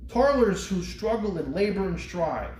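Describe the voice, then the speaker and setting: A man reading poetry aloud and briefly introducing it, with a measured, solemn delivery. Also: sits high, animated, darkish tone. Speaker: librarian reading poems aloud. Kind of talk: poetry recitation with short spoken introductions. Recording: echoey room with faint background noise.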